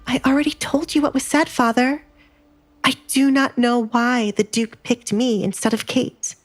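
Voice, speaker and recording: A woman's voice: fairly high pitch, moderate pace, faint music behind, close to an everyday voice. A woman playing a wronged young noblewoman in an audio drama, pointed and bitter in her speech. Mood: bitter, defiant. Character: wronged young noblewoman, coolly defiant toward her father.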